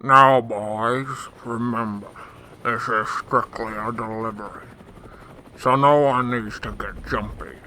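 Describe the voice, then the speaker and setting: A man plays a commanding crime boss in a radio drama. He talks slowly with a hard, bright edge, over faint noise. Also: medium pitch, steady tone. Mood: warning. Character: commanding adult male mob boss.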